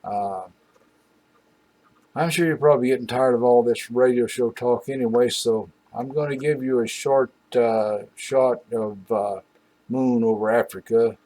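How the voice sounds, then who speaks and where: A man's voice: mid-range voice, steady tone, unhurried, warm tone, poor audio. A man hosting a radio show with casual, folksy, meandering and hesitant commentary.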